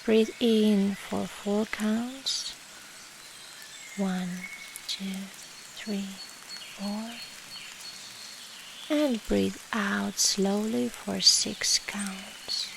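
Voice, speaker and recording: A woman guiding a meditation in a soft, soothing, measured way with long pauses and breathy endings. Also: mid-range voice. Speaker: meditation guide. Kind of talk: slow guided meditation. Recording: steady rain noise behind the voice. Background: rain and nature ambience.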